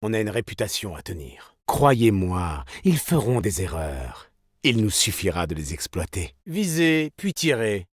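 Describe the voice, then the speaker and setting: An actor playing a suave, vain, elegant male weapons designer in a video game, with a theatrical, smug and courteous, smoothly ironic manner. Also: on the low side, very animated, unhurried, no room sound, studio quality, exaggerated voice. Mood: composed, confident. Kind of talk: video game character voice lines.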